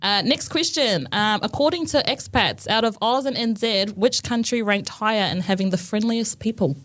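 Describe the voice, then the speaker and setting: A woman's voice: a little high, moderate pace, a bit bright. A woman hosting a podcast, upbeat and giggly as she quizzes her guests. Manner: upbeat, giggly, runs a quiz. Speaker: podcast host. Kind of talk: casual interview chat.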